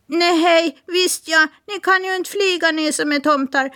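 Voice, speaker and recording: A woman voicing a chatty female parrot with a very high, shrill squawking voice that repeats its greetings. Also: bright, forceful tone. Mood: chirpy.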